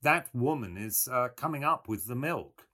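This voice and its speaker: A man voicing a polite, mild gentleman guest with a courteous manner in a storybook reading. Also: mid-range voice, animated, normal speed, voice pushed up. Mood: matter-of-fact. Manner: quiet, courteous.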